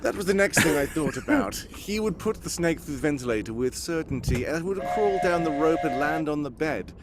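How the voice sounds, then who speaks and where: A man's voice: a little high, lively intonation, brisk, natural voice. A man playing a brilliant, self-assured, commanding male detective in a live radio drama, theatrical and pompous in delivery. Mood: self-satisfied explaining. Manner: theatrical, bossy, pompous monologuing. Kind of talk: live cold-read recreation of 1940s radio drama. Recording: live stage recording with clear background noise.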